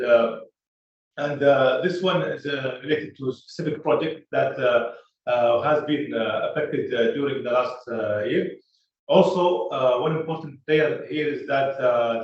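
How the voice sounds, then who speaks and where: Echoey, distant man presenting company results. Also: medium pitch. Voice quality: frequent hesitant pauses with many uhs. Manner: halting, measured explanation of figures. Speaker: company finance executive. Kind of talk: earnings call presentation. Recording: echoey, distant, muffled conference call audio.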